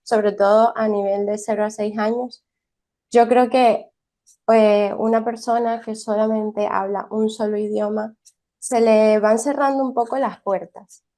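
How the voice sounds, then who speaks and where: A woman's voice: on the high side, fairly even intonation, a little slow, dark tone. A young woman who teaches early childhood education, from Venezuela, answering interview questions thoughtfully with frequent restarts and tag questions.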